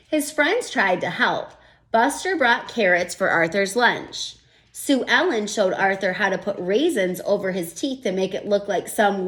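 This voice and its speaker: A woman reading a children's picture book aloud and stopping to explain her thinking, like a teacher. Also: mid-range voice. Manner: gentle, expressive storybook reading with thinking-aloud asides. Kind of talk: children's read-aloud with comments.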